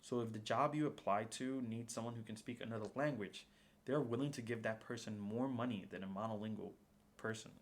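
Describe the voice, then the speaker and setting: A man's voice: lowish voice, a little fast. A young man, a native Spanish speaker, delivering a prepared persuasive speech for a class in a formal, read-aloud manner. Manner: formal, reading prepared remarks.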